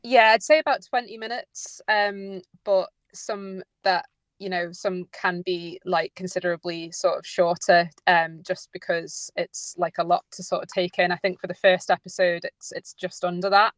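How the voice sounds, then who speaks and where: A woman's voice: fairly low pitch, flat delivery, normal speed, no room sound. A woman giving informal, unscripted radio interview answers as a drama writer, hesitant and often correcting herself as she goes. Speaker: audio drama writer and producer, interview guest.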